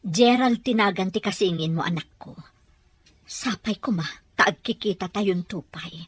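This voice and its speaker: A woman playing a tender, sorrowful mother with a husky voice and a Filipino accent in an audio drama. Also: medium pitch, a little slow, no echo. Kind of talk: Ilocano audio drama acting.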